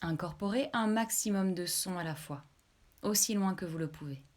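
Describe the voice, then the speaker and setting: A woman leading a guided relaxation meditation as a yoga nidra instructor, giving calm, measured instructions. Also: lowish voice.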